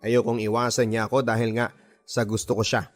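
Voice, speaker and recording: A man with a Filipino accent narrating a scripted first-person love story in an expressive radio storytelling style. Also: on the low side, fairly quick. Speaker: radio drama narrator reading a listener's letter. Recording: voice over background music. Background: music.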